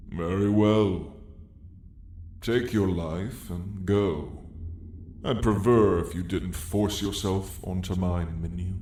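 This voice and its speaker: A man voicing a haughty, sardonic dragon in a slow, condescending, theatrical drawl for an ASMR roleplay story. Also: deep voice, warm tone, echoey room, slight background noise. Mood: haughty, warning. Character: haughty, sardonic dragon, apex predator of a mountain.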